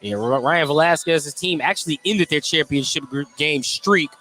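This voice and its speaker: A man's voice: a little high, normal speed, pushed and bright. A man doing live radio play-by-play of a basketball game in clipped, descriptive running calls. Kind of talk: live radio sports commentary.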